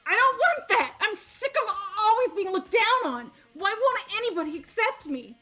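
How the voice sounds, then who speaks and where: A woman's voice: very high-pitched, expressive, moderate pace, bright, forceful tone, soft background music, voice pushed up, full character voice. A woman voicing an insecure, paranoid teenage girl from a video game, with indignant, stammering outbursts. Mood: wailing, upset. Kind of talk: amateur voice acting of a video game script.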